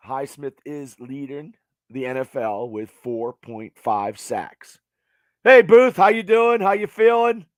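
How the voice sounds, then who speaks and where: A man hosting a casual sports podcast, hoarse from losing his voice, talking in a halting way with many fillers and restarts. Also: a little high, no room sound. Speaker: podcast host.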